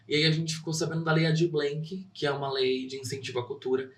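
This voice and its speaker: A young man talks about his theater work as a guest, earnest and heartfelt. His mid-pitched voice sounds roomy and a little distant from the mic. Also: fairly bright tone. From São Caetano, Brazil. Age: young adult. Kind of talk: interview answers.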